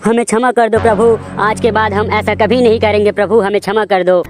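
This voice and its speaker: A man puts on an excitable cartoon tourist, earnest and exclaiming. The voice sits high, well above an ordinary man's, over faint music. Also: fairly quick, close to an everyday voice. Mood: pleading. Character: city tourists on a boat, excitable, pleading devotees.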